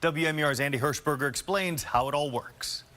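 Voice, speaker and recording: A young male news reporter with a fairly high voice, reading his stories in a crisp, measured broadcast cadence. He sounds like local television news, steady and clear from line to line. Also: a little hiss or hum. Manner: crisp, measured newscast delivery.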